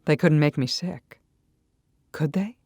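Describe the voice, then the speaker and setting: A woman giving dramatic first-person story narration in a measured, intimate manner. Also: low-pitched, darkish tone, no echo, studio quality. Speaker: audiobook-style narrator. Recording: music behind the voice.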